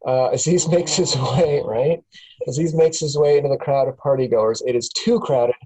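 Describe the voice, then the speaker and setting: A man's voice: medium pitch, normal speed, warm tone, husky, poor audio. A man reading the stage directions aloud at a script table read, plain with small chuckles.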